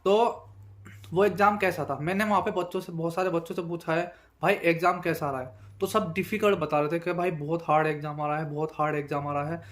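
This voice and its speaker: A man's voice: a little high, steady tone, brisk. A young man giving an informal analysis to viewers, conversational and repetitive, with frequent verbal tags. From Bijnor, Uttar Pradesh, India. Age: young adult. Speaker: exam candidate and video host.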